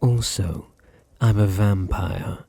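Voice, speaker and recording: A man playing a possessive, teasing vampire master in an intimate ASMR roleplay, with a deep, husky British voice and a languid, condescending manner. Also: slow, dark tone, no echo, heavily acted. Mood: possessive, menacing. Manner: languid, condescending, purring endearments.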